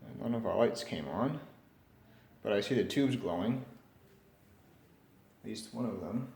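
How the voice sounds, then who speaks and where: A man's voice: on the low side, a little slow, gravelly, darkish tone. A man casually narrating a hands-on repair, tentative and thinking aloud as he works. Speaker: hobbyist radio repairer presenting. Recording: roomy echo with faint background noise.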